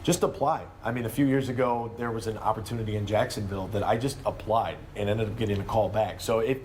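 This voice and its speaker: A man's voice: on the low side, steady tone, fast talker, a little mellow, a little hiss or hum. A man with an American accent, a sports announcer, giving conversational answers as an interview guest.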